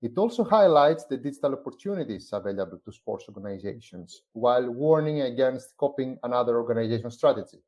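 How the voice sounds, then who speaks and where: Man from Greece, co-author, measured delivery, uh fillers, middle pitch. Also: expressive, muffled recording. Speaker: chief growth officer, co-author. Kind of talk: semi-scripted presentation on a live stream.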